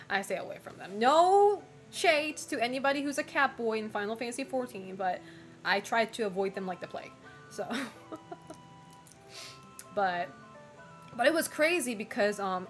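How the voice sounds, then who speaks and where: A young woman streamer in casual chat, frank and talkative, laughing often and filling pauses with "like" and "um". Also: a little high, animated, soft background music. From United States and Japan. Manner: chatty, frank, laughs often, many fillers. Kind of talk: casual chat reading viewer messages.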